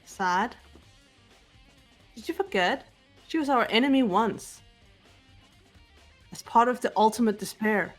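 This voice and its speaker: A woman voicing a cool, analytical teenage girl detective, calm and measured, lecturing with precise logic. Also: a little high, soft background music. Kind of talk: amateur voiced game playthrough.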